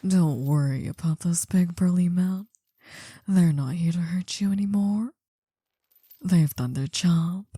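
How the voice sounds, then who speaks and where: A woman playing an older female mafia boss in an intimate ASMR roleplay, possessive, doting and soothing, in a breathy half-whisper. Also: on the low side, warm tone, no room sound, heavily acted. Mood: possessive reassurance. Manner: slow, soothing, coaxing.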